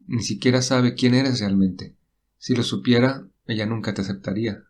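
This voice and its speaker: A man's voice: mid-range voice, steady tone, normal speed, a little mellow, close to an everyday voice. A man playing an adult man who sternly scolds himself over a forbidden love in an audio story. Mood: self-reproach. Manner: stern, self-reproaching. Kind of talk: acted inner voice in audio story.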